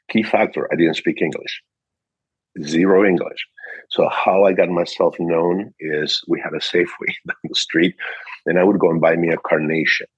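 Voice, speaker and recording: A man's voice: low voice, husky. A man in his sixties from Peru with a Peruvian Spanish accent, telling casual anecdotes as an interview guest and animatedly acting out quoted lines. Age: 60s. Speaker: interview guest, car dealership director. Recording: dull, echoey room sound.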